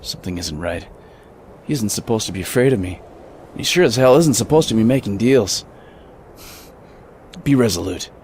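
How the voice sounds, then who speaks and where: A man's voice: on the low side, expressive, moderate pace, long pauses, darkish tone, slight background noise. An actor playing a grim, resolute gunman in an old-school radio drama, solemn and determined. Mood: steely. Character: grim, resolute lone gunman, husband and father.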